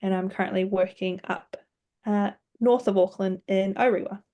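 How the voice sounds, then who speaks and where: A woman with a New Zealand accent introduces herself to colleagues. She speaks casually in a medium pitch. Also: steady tone, moderate pace, warm tone. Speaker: course participant, dentist.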